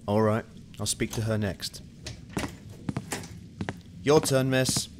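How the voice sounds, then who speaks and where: A man's voice: lowish voice, steady tone, slow pace, background noise. A man playing a young, hard-nosed, suspicious police detective in an amateur radio play. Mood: calm, businesslike. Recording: music and rain under the voice.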